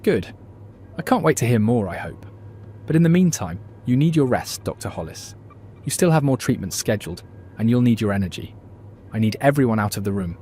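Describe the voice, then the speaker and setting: A man's voice: medium pitch, animated, fairly quick, warm tone, natural voice. A man playing a friendly but firm doctor in a science fiction fan audio drama. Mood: gently firm. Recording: faint background noise.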